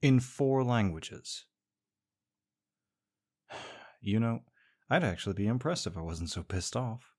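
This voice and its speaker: A man's voice: on the low side, lively intonation, takes it slowly, long pauses, darkish tone. A young man performing a scripted audio roleplay as a sarcastic, teasing rival co-worker. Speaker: voice actor playing a smug rival co-worker.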